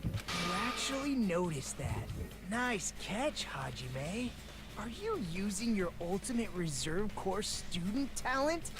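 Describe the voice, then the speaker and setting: An actor playing a calm, unsettling young male student in a game, speaking in a measured way and asking leading questions. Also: high voice, heavily acted. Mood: praising. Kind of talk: game voice acting. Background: loud game music.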